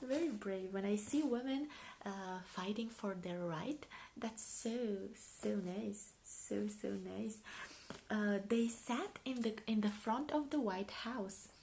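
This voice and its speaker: A woman from Romania with a Romanian accent, speaking softly in an ASMR style and often slipping into a whisper, musing and repeating short phrases. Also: medium pitch, a little hiss or hum, poor audio. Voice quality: soft, often breaking into whispers. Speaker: ASMR video creator reviewing a magazine. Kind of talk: soft-spoken ASMR.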